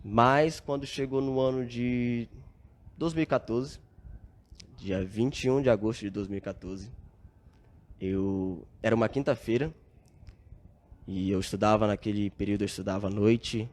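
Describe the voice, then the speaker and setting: A man's voice: lowish voice, normal speed, long pauses, a little mellow. Earnest young Brazilian man telling his story. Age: young adult. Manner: earnest, reflective, with frequent filler tags. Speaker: podcast guest. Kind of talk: casual storytelling interview.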